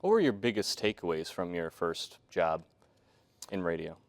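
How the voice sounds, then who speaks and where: A man's voice: deep voice, big pitch swings, normal speed. A young man hosting an interview, reading formal questions in an earnest, slightly nervous way. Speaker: host, student interviewer.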